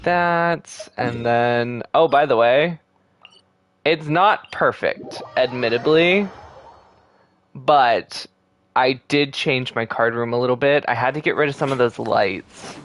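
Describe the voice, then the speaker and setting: A man livestreaming a game, chatting casually with viewers and thinking aloud in a relaxed way. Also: medium pitch, takes it slowly, slight background noise. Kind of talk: casual live gameplay chat with viewers. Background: video game music.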